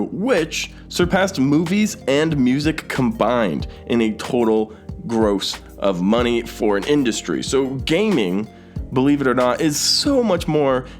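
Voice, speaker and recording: Male YouTube commentator over background music. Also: medium pitch. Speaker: YouTube host. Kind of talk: scripted-sounding movie and gaming news commentary to camera.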